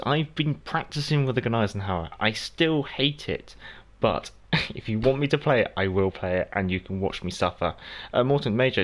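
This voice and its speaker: A South African man whose first language is Afrikaans, hosting a game livestream and chatting casually with viewers while he plays. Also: mid-range voice. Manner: chatty, reads out and answers viewers by name. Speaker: gaming livestream host. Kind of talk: casual livestream commentary while playing, answering chat.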